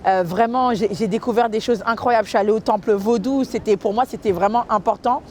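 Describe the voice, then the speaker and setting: A woman's voice: a little high, a little fast, a little mellow, slight background noise. A woman who grew up in Guadeloupe giving a personal testimony in an interview as a food truck co-founder. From Guadeloupe, France.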